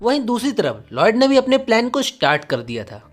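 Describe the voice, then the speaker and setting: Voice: medium pitch, sing-song, a little fast, faint music behind. A narrator telling a scripted story in a dramatic, present-tense recap style.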